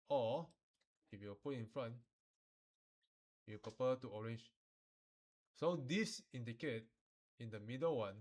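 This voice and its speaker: A man with a medium-pitched voice teaches software step by step. He has a Malaysian or Singaporean accent and an informal, colloquial way of phrasing instructions, often ending phrases with local particles. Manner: colloquial phrasing with sentence-final particles like lah and lor.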